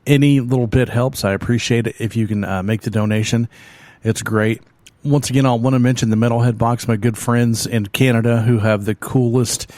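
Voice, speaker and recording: A man's voice: mid-range voice, darkish tone, no room sound. A man with a Southern American accent hosting a metal radio show, chatting loosely with lots of ums and uhs and self-deprecating asides from a small home studio. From Oklahoma, United States. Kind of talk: casual rambling radio chat.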